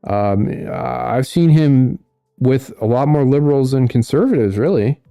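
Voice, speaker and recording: A man hosting a casual commentary stream, reading out viewer comments in a monotone way. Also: mid-range voice, measured pace, gravelly, clear, resonant tone, no room sound, studio quality, faint music behind. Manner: monotone delivery. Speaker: host.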